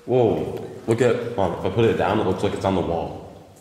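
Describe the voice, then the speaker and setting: Deep-voiced man in an echoey mine. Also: brisk, dark tone, slight background noise. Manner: amazed, reacting. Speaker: friend exploring. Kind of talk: casual chat. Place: inside a mine.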